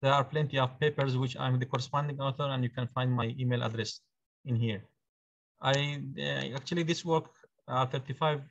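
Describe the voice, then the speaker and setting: A man, a postdoctoral researcher, introducing his work haltingly in an online meeting, with many fillers. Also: medium pitch, creaky, lo-fi sound.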